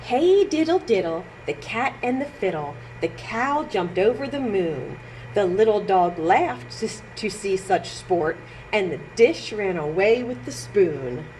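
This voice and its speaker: A woman with a lively, swooping voice reads a children's story at an unhurried pace. She stresses words in a sing-song way and adds little asides to young listeners. There is clear noise behind her. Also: medium pitch, rough recording. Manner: sing-song, exaggerated emphasis, pauses to comment on pictures. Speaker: children's librarian storytime reader. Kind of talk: expressive read-aloud for young children.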